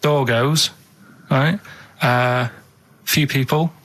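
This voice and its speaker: A man talking on a comedy radio show at an ordinary middle pitch. He sounds earnest and matter-of-fact, setting things out in short, halting pieces and often checking that he is followed. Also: fairly even intonation, fairly bright tone. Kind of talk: casual comic radio chat.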